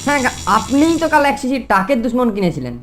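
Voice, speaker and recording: A woman's voice: fairly high pitch, sing-song, quick pace, heavy background noise. A woman voicing an eager young boy, a practical sidekick and street hawker, in a children's cartoon. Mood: helpful. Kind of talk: Bengali children's cartoon voice acting.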